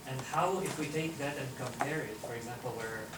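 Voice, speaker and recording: A man from Syria speaks up from the audience of a conference. He is far from the mic in an echoey room with loud background noise, and he talks thoughtfully, searching for his words. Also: medium pitch, a little fast. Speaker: audience member. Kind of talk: question from the floor.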